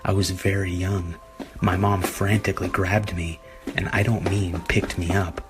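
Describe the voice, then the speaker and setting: A man narrating a scripted horror story in a measured, deliberate way. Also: low-pitched. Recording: music behind the voice. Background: background music.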